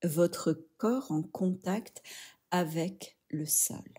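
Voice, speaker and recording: A woman leading a guided yoga relaxation, speaking softly and soothingly in short phrases with pauses. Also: on the low side, husky. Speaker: yoga nidra instructor.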